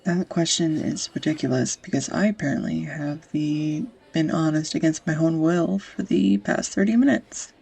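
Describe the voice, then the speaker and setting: A young woman acting a tender, easily flustered sweetheart in an intimate romantic audio roleplay, with a husky, breathy, hesitant voice. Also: low voice, moderate pace, hardly any pauses, darkish tone, exaggerated voice, low-quality recording. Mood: tender, earnest. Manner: hesitant, confessional, breaking into whispers.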